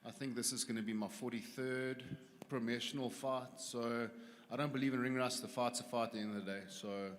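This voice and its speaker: A man's voice: medium pitch, fairly even intonation, a little fast. A confident man in his forties from South Africa, answering questions as a fighter at a press conference. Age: 40s. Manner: confident, plain-spoken. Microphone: handheld microphone. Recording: long hall reverb.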